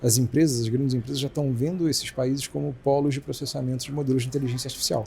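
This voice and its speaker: Brief: Brazilian male exec guest, rapid-fire delivery, warm mellow tone, faint hiss behind. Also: medium pitch, gravelly. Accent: Brazilian Portuguese. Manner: fast, animated explaining with many restarts. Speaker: company president, guest. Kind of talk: casual business interview.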